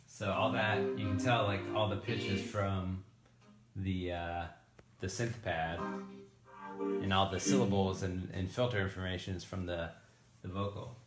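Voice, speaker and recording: A man giving a casual, hands-on software tutorial as a musician, thinking aloud in an informal way. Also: low-pitched, steady tone, a little slow, roomy sound, over loud music. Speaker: musician demonstrating a vocoder.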